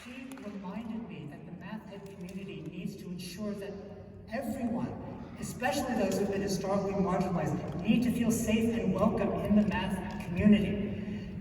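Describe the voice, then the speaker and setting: A man from New York City giving a short conference talk as a math teacher, relaxed and conversational with frequent "um"s. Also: high voice, normal speed. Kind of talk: short prepared talk on stage. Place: large conference hall. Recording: echoey hall, picked up from far off, noisy.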